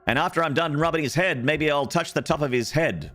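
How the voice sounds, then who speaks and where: A man's voice: fairly high pitch, brisk, fairly bright tone. An Australian man streaming a game, chatting to viewers in a joking, rambling way. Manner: joking, rambling, playfully flirty with chat. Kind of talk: casual live-stream chatter while gaming.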